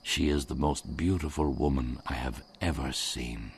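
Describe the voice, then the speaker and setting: A man's voice: extremely low pitch, normal speed, husky, full character voice. A man narrating his own story in a radio drama, in a wry storytelling manner. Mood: reflective. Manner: wry, storytelling, first-person recollection. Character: man looking back on his own wild night.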